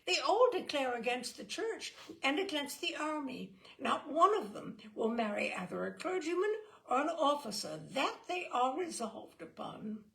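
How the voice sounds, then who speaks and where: A woman's voice: high voice, sing-song, moderate pace, gravelly, hardly any pauses, pitched above the performer's own voice, exaggerated voice. Woman voicing an eager chattering young girl. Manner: bright, eager, emphatic exclamations.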